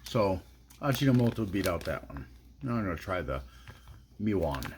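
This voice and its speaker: A middle-aged man reviewing food on his phone camera at home, talking in a dry, low-key musing way. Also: big pitch swings, moderate pace, darkish tone, a little hiss or hum. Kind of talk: casual taste-test vlog. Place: small indoor room at home. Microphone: phone camera at arm's length.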